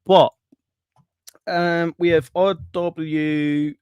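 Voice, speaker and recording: A man's voice: a little high, little pitch movement, very slow, darkish tone, clear, resonant tone, dry recording, lo-fi sound. A man co-hosting a casual livestream chat, earnest and reasoning, talking directly to viewers. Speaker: co-host.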